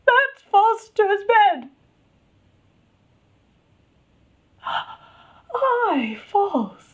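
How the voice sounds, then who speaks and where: A woman performs a betrayed young princess of classical tragedy, passionate and wounded. Her high voice moves slowly through each phrase, with intense, indignant theatrical emotion. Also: fairly bright tone. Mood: indignant anguish. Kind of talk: classical Shakespearean dramatic monologue.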